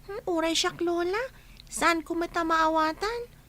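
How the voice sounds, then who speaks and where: Brief: a giggly radio-play granddaughter, very high-pitched. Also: female voice, a little slow, pushed and bright, exaggerated voice. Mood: earnest. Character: young granddaughter, giggly and earnest. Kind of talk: radio drama acting.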